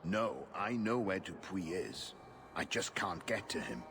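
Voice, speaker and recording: A man's voice: low voice, animated, unhurried. A man playing a dogged investigator in a video game, serious and urgent in his delivery. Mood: urgent, frustrated. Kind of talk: video game cutscene acting. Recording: noisy street ambience.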